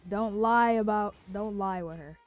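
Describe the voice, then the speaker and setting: A woman livestreaming a game with casual, playful, expressive commentary. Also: medium pitch, measured pace, highs cut off, faint music behind.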